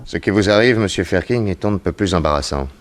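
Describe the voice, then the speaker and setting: Casting: methodical, unruffled private eye, very low voice, reassuring authority, vintage radio drama. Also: male voice, expressive, faint music behind. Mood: grave, measured. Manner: measured, authoritative. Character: composed, methodical private detective, reassuring and shrewd. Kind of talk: 1960s French radio serial acting.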